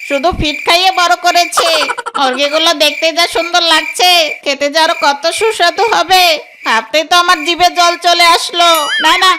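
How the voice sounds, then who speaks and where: A sly male fox thief from a children's cartoon acted by a woman. The voice is extremely high. He is greedy and whiny, talking in self-pitying exclamations. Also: steady tone, moderate pace, background noise. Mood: greedy, gleeful.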